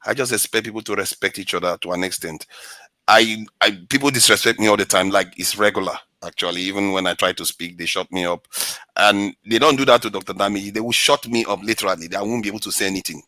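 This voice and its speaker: A man's voice: on the low side, steady tone, quick pace, pushed and bright, dry recording. A male anchor and commentator on an online panel, talking in an aggrieved, insistent way. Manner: aggrieved, insistent, stressing words.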